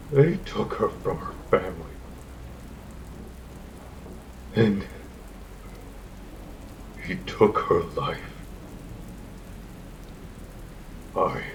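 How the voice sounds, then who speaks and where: Grave, embittered father god, played by a male actor, very low-voiced, solemn audio drama, rain noise behind. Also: full character voice, rough recording. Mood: bitter, grieving. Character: grieving, embittered god of seasons, mourning his daughter.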